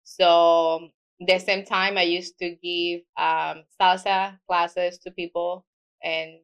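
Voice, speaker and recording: A woman's voice: lowish voice, little pitch movement, takes it slowly, clear, resonant tone. A middle-aged woman with a Spanish accent, a native Spanish speaker, telling her work story in a casual interview with small grammar slips. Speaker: guest, mortgage loan officer.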